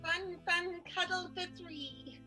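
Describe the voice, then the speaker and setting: A woman's voice: very high-pitched, steady tone, slow pace, sharp tone, soft background music, full character voice. A woman playing a gushing, falsely sweet schemer in an improvised radio soap, coy and melodramatic.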